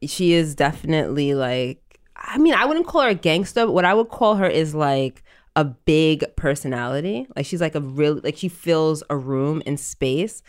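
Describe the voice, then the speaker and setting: Low-voiced woman hosting a casual podcast. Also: animated, normal speed. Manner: chatty, fills speech with "like". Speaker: podcast host.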